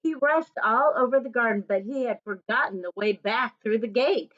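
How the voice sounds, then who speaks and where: A woman reading a children's story aloud, with deliberate pauses between short phrases. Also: on the high side, fairly bright tone. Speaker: storybook reader. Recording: dull lo-fi recording.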